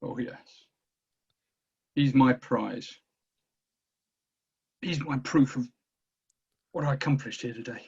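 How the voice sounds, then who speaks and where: A husky-voiced military commander, played by a man at a table read, hard and self-serving. He sounds firm, over a dull, lo-fi mic. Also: medium pitch, takes it slowly, pauses often, a little mellow, full character voice. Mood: smug. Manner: firm, bargaining. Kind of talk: screenplay table read acting.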